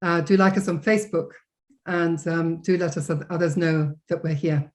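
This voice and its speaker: Woman host introducing her guest, lots of ums, lower voice. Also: fairly even intonation, moderate pace. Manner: warm welcoming, hesitant fillers. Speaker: interview host. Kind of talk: video interview hosting.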